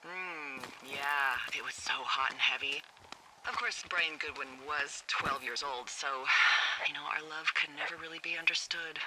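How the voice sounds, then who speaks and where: An actress voicing a wry, teasing American fire lookout supervisor in a video game, with a dry, playful and sarcastic manner. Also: female voice, low-pitched, normal speed, very noisy, lightly acted. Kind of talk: naturalistic video game dialogue. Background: background music.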